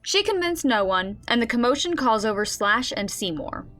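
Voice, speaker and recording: High-voiced woman playing a game master. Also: sing-song, moderate pace, fairly bright tone. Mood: storytelling. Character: game master narrating a comic fantasy tabletop game. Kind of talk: scripted radio drama narration.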